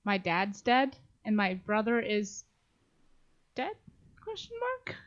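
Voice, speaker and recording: Woman, mid-pitched voice, podcast co-host, informal, jokey, sweary, slightly muffled lo-fi recording. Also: sing-song, takes it slowly. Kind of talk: casual banter about a story.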